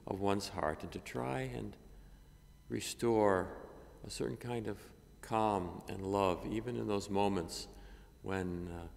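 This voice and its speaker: An older man, a priest, reading a gospel and preaching a homily at a lectern microphone, measured and halting with many fillers. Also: on the low side, steady tone, gravelly, darkish tone. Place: cathedral chapel, at a lectern. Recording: long reverb of a chapel.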